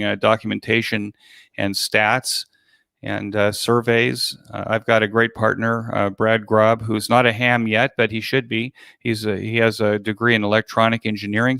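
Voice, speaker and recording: An older man talks as a guest in a casual interview, his voice fairly low with a strong creak. He phrases things haltingly, doubling back on words. The sound is close and dry. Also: a bit bright, dry recording. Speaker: guest, virtual expo organizer.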